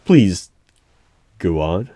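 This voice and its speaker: A man playing an uneasy, disbelieving hiring manager of a secret bureau, speaking haltingly in a one-sided audio roleplay. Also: sits low, big pitch swings, warm tone, heavily acted. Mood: shaken, disbelieving. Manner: halting, pausing, incredulous. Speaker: solo roleplay performer. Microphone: close microphone with keyboard typing behind.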